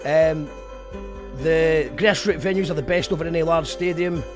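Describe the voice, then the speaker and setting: A man's voice: a little high, normal speed. A man with a Scottish accent hosting an online live show in loose, casual chat that often restarts mid-sentence. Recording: background music playing under the voice.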